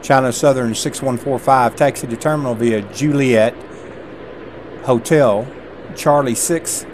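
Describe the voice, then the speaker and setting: A middle-aged man playing an air traffic controller, reading radio clearances with drawling, casual asides between calls. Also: mid-range voice, fairly even intonation, measured pace. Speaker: gameplay streamer. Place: small home room indoors.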